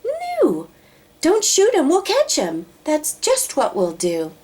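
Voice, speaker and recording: Lady doing gawking storybook crowd people, excitable, shouty, pitched up high. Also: takes it slowly, exaggerated voice. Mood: astonished scheming. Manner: exclaiming, shouting. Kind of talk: children's storybook read-aloud.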